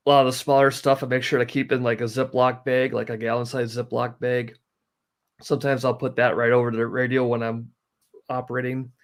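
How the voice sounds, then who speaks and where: A man giving casual, practical explanations as a guest, in a matter-of-fact, step-by-step way. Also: mid-range voice. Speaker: guest, hobbyist sharing practical tips.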